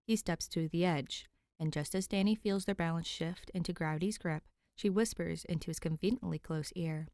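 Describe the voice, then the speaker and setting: Woman narrating fan fiction, lowish voice, really dry close sound. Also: moderate pace, a little mellow, dry recording. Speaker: podfic narrator. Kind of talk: scripted story narration.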